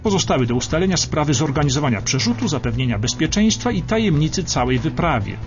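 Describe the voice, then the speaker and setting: A man's voice: on the high side, big pitch swings, quick pace, dull audio. A man delivering scripted historical documentary narration for radio. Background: clear background music.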